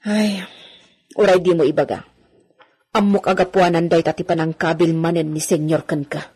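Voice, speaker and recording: An actress playing a devoted, worried woman servant in an Ilocano radio drama, with a husky voice. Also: lowish voice, fairly even intonation, moderate pace, a little mellow. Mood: troubled, pitying.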